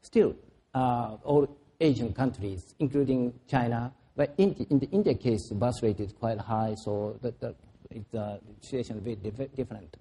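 Very dark-voiced man, measured explanation. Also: mid-range voice, husky. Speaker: panelist. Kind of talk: panel discussion.

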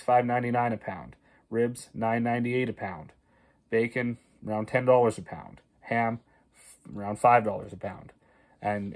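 Farmer talking to his phone camera. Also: lowish voice. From Canada. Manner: conversational, many fillers and restarts, stresses prices. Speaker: farmer and video host. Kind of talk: casual talking-head explainer. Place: small wood-paneled room indoors. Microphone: phone camera at arm's length.

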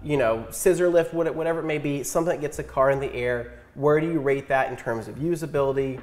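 A man's voice: mid-range voice, fast talker. A man in his thirties hosting a casual tier-list chat in a home garage, easygoing and self-deprecating. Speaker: host.

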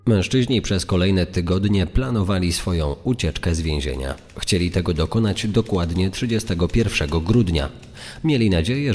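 A man's voice: sits low, darkish tone. A man giving a scripted, dramatic reading as narrator of a true-crime story. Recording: long reverb over music.

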